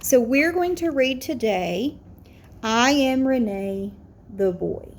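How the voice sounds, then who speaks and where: A woman's voice: a little high, lively intonation, takes it slowly, a little hiss or hum. A woman teacher introducing a picture book to young children with simple, explanatory phrasing. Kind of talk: read-aloud for young children.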